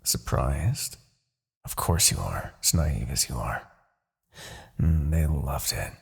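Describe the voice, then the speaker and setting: A man playing a smug, seductive, manipulative demon king in a fantasy audio roleplay, with a gravelly, husky voice and a taunting, theatrical, condescending delivery. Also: very deep voice, lively intonation, takes it slowly, heavily acted. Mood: scornful, persuasive. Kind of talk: fantasy ASMR roleplay acting.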